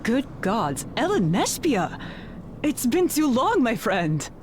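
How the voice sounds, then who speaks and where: A woman's voice: a little high, very animated, slow, slight background noise. A woman voicing a friendly, forgetful villager in chatty, effusive video game dialogue. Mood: delighted, curious.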